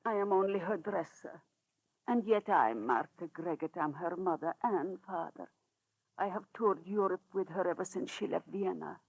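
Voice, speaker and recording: A woman's voice: lowish voice, moderate pace, creaky, slightly rough, narrow, tinny audio. Earnest, doting lady's dresser. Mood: pleading. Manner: earnest, pleading, dramatic. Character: devoted, doting motherly woman, opera singer's dresser. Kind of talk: 1940s radio acting. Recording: old radio broadcast.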